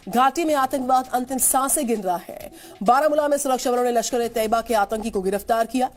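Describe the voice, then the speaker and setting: A woman's voice: fairly high pitch, a little fast, a little hiss or hum. An Indian woman presenting television news in a formal, emphatic manner. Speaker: news anchor. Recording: studio voice over news music.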